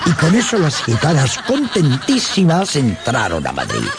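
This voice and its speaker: Animated male storyteller over loud music. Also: mid-range voice, moderate pace. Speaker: narrator. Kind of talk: dramatic scripted storytelling narration.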